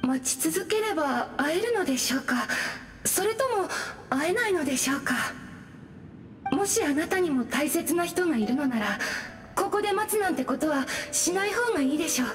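A woman voicing a polite, gentle, wistful female ghost in a Japanese video game. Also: extremely high pitch, animated, normal speed. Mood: wistful, resigned.

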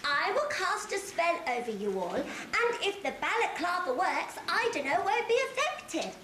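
Dotty, kindly pantomime fairy played by a woman, very high lilting pitch, echoey stage. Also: sing-song, normal speed, pushed and bright, heavily acted. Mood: eager, flustered. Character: sweet, scatterbrained good fairy casting spells. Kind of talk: amateur pantomime stage acting. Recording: echoey hall stage, noisy old camcorder.

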